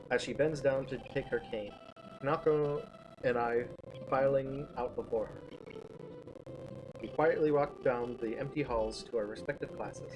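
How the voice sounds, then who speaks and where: A man narrating a first-person story aloud, reading haltingly with small stumbles. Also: mid-range voice. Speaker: streamer reading a visual novel. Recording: clearly noisy background.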